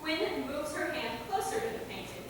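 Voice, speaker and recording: A woman's voice: high-pitched, expressive, normal speed. A woman narrating scripted stage directions at a staged reading. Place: theater hall, mic at a distance. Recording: echoey hall, distant, noisy.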